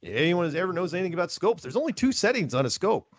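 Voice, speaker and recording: Male interview host, lively pitch swings, emphatic and conversational, slightly lo-fi audio. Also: fast, no echo. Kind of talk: conversational interview with asides to listeners.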